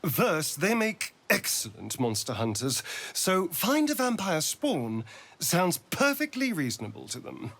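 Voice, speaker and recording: A man's voice: on the high side, wildly swooping pitch, measured pace, gravelly, fairly bright tone, full character voice. An actor playing a theatrical, haunted male vampire spawn in a video game, with a sardonic and dramatic delivery. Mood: bitter, brooding. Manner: dramatic, sardonic, swooping emphasis. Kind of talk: video game character acting.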